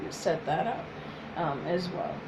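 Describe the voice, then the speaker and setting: A woman whose first language is French, speaking as a psychotherapist in a soft, hesitant casual chat with many pauses. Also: fairly low pitch, lively intonation, very noisy. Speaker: psychotherapist, co-host.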